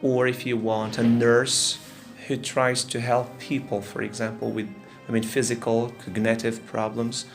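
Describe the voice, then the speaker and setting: A man's voice: mid-range voice, level tone, a little slow, few pauses. Male teacher over faint music. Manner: explains step by step, checks understanding often. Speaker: teacher, moderator. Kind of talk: informal classroom explanation and questioning. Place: classroom at a language centre.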